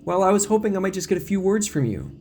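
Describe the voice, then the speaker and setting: A man's voice: high voice, big pitch swings, fast talker, darkish tone, a little hiss or hum, pitched above the performer's own voice. A man voicing a pushy, brazen female TV reporter in an audio drama. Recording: music bed behind the voice.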